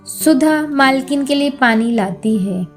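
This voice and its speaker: A woman narrates a scripted children's story. Her voice is high-pitched. Music runs behind the narration. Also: animated, slow.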